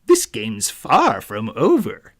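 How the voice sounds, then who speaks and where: A man voicing a sly, bossy storybook villain, a letter thief, with taunting, theatrical, drawn-out phrases. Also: medium pitch, wildly swooping pitch, fairly bright tone, pitched below the performer's own voice, heavily acted. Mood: smug, taunting.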